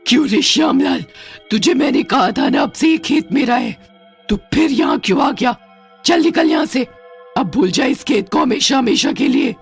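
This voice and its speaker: A woman voicing a menacing witch who haunts a farm, scolding and taunting in a theatrical animated ghost story. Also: sits high, moderate pace, vocal fry, husky, soft background music, exaggerated voice. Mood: menacing, commanding.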